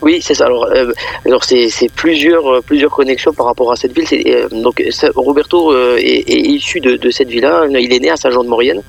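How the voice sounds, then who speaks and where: A man's voice: mid-range voice, gravelly, husky. Hesitant man giving a radio interview. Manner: halting, repeats words mid-phrase. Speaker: festival organiser, radio guest.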